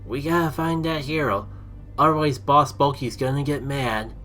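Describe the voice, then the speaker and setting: A man's voice: mid-range voice, moderate pace, fairly bright tone, soft background music, voice pushed up, close to an everyday voice. Man as a squabbling little villain minion. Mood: anxious. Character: squabbling lion-cub villain minion, dim henchman.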